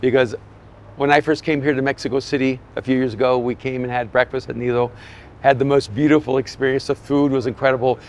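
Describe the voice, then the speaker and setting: A man's voice: mid-range voice, steady tone, quick pace, a little hiss or hum. A man hosting an interview podcast outdoors on a city street, talking in an earnest, effusive way. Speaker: podcast host.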